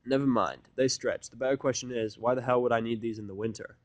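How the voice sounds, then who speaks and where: A man voicing a gruff, cynical, blunt human who speaks curtly and grudgingly. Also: medium pitch, fairly even intonation, quick pace, no echo, close to an everyday voice. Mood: skeptical. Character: gruff, cynical, blunt human living reluctantly among ponies.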